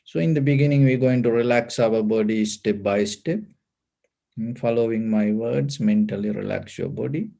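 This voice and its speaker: A man leads a guided meditation as a Buddhist teacher, giving calm, deliberate instructions in short phrases. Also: fairly low pitch, vocal fry.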